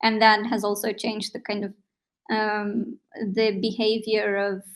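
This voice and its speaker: A woman's voice: mid-range voice, monotone, unhurried. A woman whose first language is Romanian, answering as a panel guest in a hesitant way, with many ums and restarts. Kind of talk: thoughtful spoken answer.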